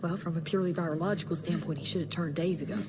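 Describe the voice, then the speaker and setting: A woman playing a sharp-tongued, brainy doctor in a video game, articulate and pointed. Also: on the low side, steady tone, rapid-fire, music in the background, close to an everyday voice. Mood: brisk. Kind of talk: video game cutscene acting.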